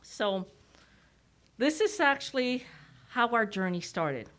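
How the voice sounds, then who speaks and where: A woman's voice: on the high side, very animated, takes it slowly, a bit bright. Canadian woman presenting through a face mask. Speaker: executive director giving a presentation. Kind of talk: public presentation, partly read from notes.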